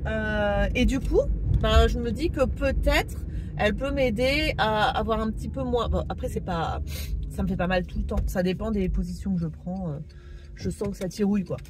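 A woman's voice: medium pitch, moderate pace, noisy background. A woman vlogging casually to camera, chatty and rambling with many fillers, stuffy with a cold. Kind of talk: casual vlog chat to camera.

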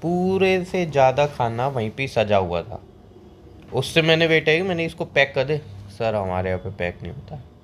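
A man acting as a cheeky young boyfriend in a phone-call drama. He has a mid-pitched voice and argues like a colloquial storyteller, always justifying himself. Some ambient noise sits behind him. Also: big pitch swings, moderate pace, fairly bright tone. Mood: exasperated storytelling. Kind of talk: scripted comic phone-call drama between a couple. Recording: clear background ambient noise.

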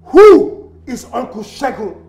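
A man's voice: high-pitched, big pitch swings, unhurried, echoey room, low-quality recording. Broken, intense Nigerian father. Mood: angry. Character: broken ex-convict father, desperate and insistent. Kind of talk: naturalistic film drama acting.

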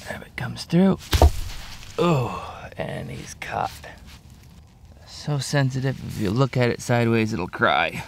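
A man's voice: mid-range voice, lively intonation, takes it slowly, vocal fry, long pauses, a little hiss or hum. A man in his thirties narrating a survival vlog outdoors in the woods, with dry, joking humor. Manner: jokey, dry humor, chuckles at himself. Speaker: bushcraft vlogger. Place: outdoors in woodland camp. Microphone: camera mic outdoors.

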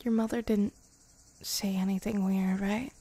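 A woman voicing a centuries-old vampire girlfriend, wistful and protective, in soft, intimate ASMR roleplay that drifts into whispers. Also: medium pitch, steady tone. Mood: anxious, protective. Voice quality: soft, breathy whispery moments. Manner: slow, hesitant, sighing between phrases.

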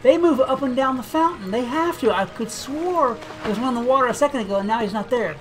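A game video creator giving casual gameplay commentary, thinking aloud in a relaxed, chatty way. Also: sits high, fast talker. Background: game music.

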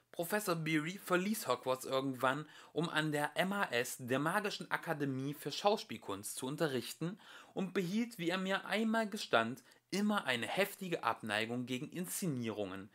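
Male amateur audiobook reader. Bright tone, very animated melody, theatrical emphasis on a scripted storybook text. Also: fairly high pitch, moderate pace. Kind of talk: scripted storybook narration, reading aloud.

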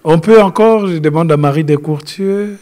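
A man's voice: on the high side, moderate pace, mellow. A man moderating a panel, brief and polite, handing the floor to the audience.

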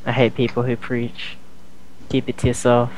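A man with a mid-pitched voice rants bluntly over an online voice call. He states his opinions flatly and without softening. A faint hiss runs under the computer mic. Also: normal speed, rough recording. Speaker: Skype call participant. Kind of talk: casual group chat.

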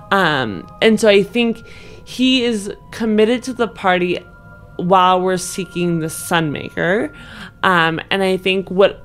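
A young woman in a casual, emphatic discussion of a game story, speaking informally with lots of "like". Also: on the low side. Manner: informal, emphatic, frequent filler "like". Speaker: podcast panelist and tabletop game player. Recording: music playing behind the voice. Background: background music.

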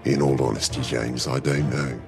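A man voicing a stern, weary older spy chief in a cartoon parody, solemn and deadpan. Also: a bass voice. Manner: solemn, deadpan, slow-burning authority. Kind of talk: animated spy parody voice acting. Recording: music clearly heard behind voice.

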